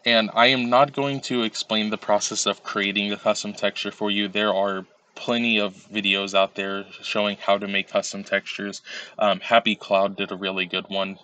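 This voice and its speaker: Low, flat-voiced man with a stuffy cold. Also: moderate pace. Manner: relaxed, step-by-step, with frequent um and uh. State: recovering from a cold, a little nasal. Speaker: tutorial creator. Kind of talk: screen-recorded software tutorial.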